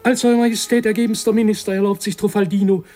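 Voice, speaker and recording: An actor playing an eager, ceremonious comic imperial minister with declamatory delivery in a theatrical radio play. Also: male voice, high-pitched, little pitch movement, fast, dark tone, faint music behind, lightly acted. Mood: deferential.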